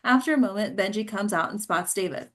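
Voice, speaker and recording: A woman's voice: medium pitch, lively intonation, a little fast, a bit bright. A woman narrating the stage directions at a script table read, occasionally stumbling over words.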